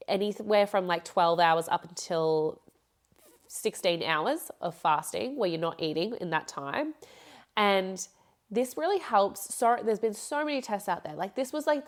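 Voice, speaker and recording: A young woman hosting a podcast, talking casually and rambling with frequent laughs, her voice nasal from a blocked nose. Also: medium pitch. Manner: chatty, rambling, laughs often. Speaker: podcast host. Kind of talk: casual solo podcast talk.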